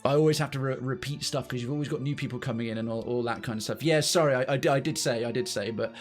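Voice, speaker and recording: Male game stream host, mid-pitched, chatty and informal, with music in the background. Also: quick pace. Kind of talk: casual livestream chat to viewers.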